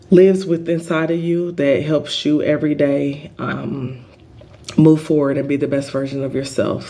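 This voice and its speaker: Earnest halting man with a flat voice. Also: a little high, vocal fry. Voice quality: big voice. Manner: earnest, halting, many um fillers. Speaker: spiritual channel host. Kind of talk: spiritual healing vlog monologue.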